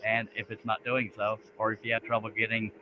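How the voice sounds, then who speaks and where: A man's voice: on the low side, completely flat delivery, brisk. A man from Texas hosting a live stream in a folksy, casual way. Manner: folksy, says "dang". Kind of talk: casual chat. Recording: tinny phone-like line with faint noise.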